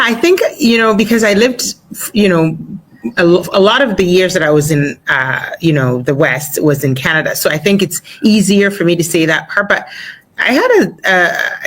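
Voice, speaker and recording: A woman in her forties, born in Ghana, chatting as an interview guest in a relaxed way, with easy laughter and plenty of fillers. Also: on the low side, normal speed. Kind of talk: casual interview talk.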